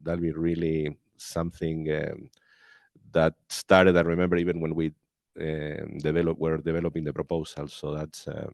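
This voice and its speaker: A man with a very deep voice talks through project plans with colleagues. He rambles and uses many fillers. He holds a handheld mic in a conference room, and the sound is dry. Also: little pitch movement, normal speed, creaky, darkish tone, dry recording. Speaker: researcher. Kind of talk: meeting discussion.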